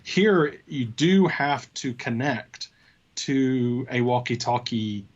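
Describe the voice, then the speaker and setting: A man co-hosting a casual tech discussion, talking in a halting way as he thinks aloud. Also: mid-range voice, lively intonation, highs cut off. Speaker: co-host of a tech show.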